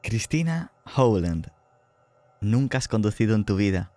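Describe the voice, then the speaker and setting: A man with a Castilian accent runs a tabletop roleplaying game. His voice is fairly low and recorded close and dry, as he narrates scenes and rules conversationally. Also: big pitch swings, a little slow, dark tone, no echo, faint music behind. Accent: Castilian Spanish. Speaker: game master.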